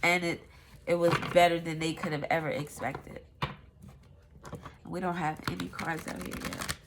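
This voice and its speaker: A woman's voice: fairly low pitch, level tone, moderate pace, creaky, very noisy. A woman with a stuffy nose giving a casual, rambling tarot reading, swearing now and then. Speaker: tarot reader.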